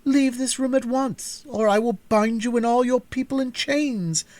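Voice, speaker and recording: A man's voice: big pitch swings, normal speed, dry recording. A man voicing a vain, haughty princess who flies into fury, in a storybook reading. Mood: furious. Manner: shrill, imperious outbursts.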